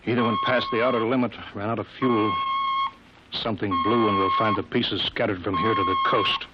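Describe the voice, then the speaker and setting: Weary aging test-flight boss, 1950s radio drama, worried, clipped, muffled, heavy noise. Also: male voice, lowish voice, big pitch swings, fairly quick. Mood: weary, grieving. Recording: muffled, very noisy old radio recording.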